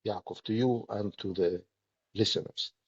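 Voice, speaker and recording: A man's voice: on the low side, steady tone, husky. Dull-sounding, emphatic man with Palestinian accent. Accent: Palestinian Arabic. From Palestinian territories. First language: Arabic. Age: middle-aged. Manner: deliberate, emphatic, speaking in short phrases. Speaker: political activist, interview guest. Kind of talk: earnest interview talk.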